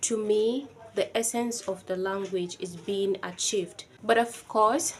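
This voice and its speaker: A woman's voice: mid-range voice, fairly even intonation, takes it slowly. A woman from Nigeria with a Nigerian accent whose first language is Yoruba. She talks to camera in an earnest, reflective way. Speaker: vlogger. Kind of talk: personal video talk to camera.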